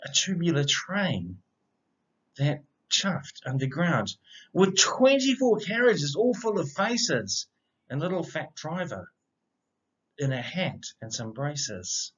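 A middle-aged man reads a children's story aloud. His delivery is expressive and unhurried, with animated pitch, and the recording is slightly dull and lo-fi. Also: slightly rough. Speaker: storybook reader, educator. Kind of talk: children's read-aloud of rhyming picture book. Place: indoor room. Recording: slightly dull, lo-fi webcam sound.

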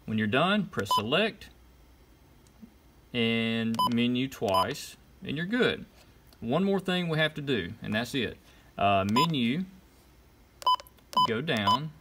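A man's voice: mid-range voice, very animated, slow pace, pauses often. A man giving an informal how-to demonstration, talking through each step in short, casual instructions. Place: indoors at a desk. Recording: clear background noise, clicks and beeps. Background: button clicks and electronic beeps.

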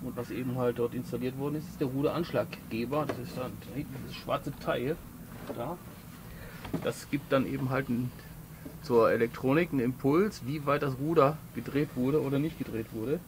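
A man's voice: mid-range voice, steady tone, moderate pace, background noise. A man casually explaining the parts he is working on while doing repairs inside a sailboat. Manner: chatty, explains while working with his hands. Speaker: boat owner doing refit work. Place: cramped cabin of a sailboat.

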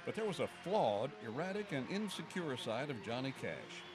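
A man's voice: medium pitch, very animated, normal speed, noisy background. A man reading scripted narration for a television news feature as the reporter.

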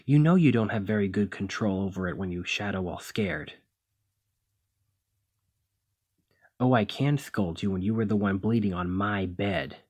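Casting: male actor as protective demigod hero for ASMR roleplay; deep, rough-edged voice, exasperated yet tender. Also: a little fast, darkish tone. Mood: alarmed, scolding. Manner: exasperated, bossy, caring.

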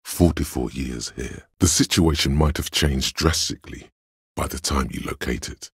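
A man playing the cool, analytical inner voice of logic in a video game, speaking in a measured, dryly superior way with a gravelly, husky tone. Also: a bass voice, dry recording, clean studio sound, heavily acted. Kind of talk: dramatic video game narration.

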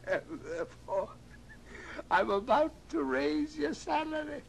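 Elderly British gentleman, very high creaky voice, effusive reformed miser, stammering, radio drama, noisy old record. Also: lively intonation, slow, full character voice. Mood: hearty. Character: giddy, overjoyed elderly Victorian English gentleman miser reformed.

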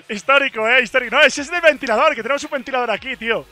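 A hoarse young man who has lost most of his voice, chatting excitedly to his stream on a headset mic in a studio booth. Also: very high-pitched, fairly quick, soft background music. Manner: excited, repetitive. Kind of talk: casual stream chat.

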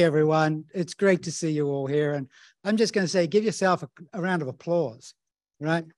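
A man's voice: a little high, darkish tone, no echo. A man from New Zealand with a New Zealand accent, giving a casual, chatty conference presentation as a professor, often tagging "right?". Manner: casual, chatty, tag questions.

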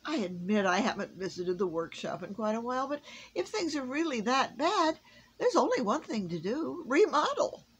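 A woman's voice: fairly high pitch, very animated, moderate pace, breathy edge, pitched above the performer's own voice, exaggerated voice. Jolly old Santa Claus, weary but hearty, storybook voice, played by a woman reader. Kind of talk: storybook reading.

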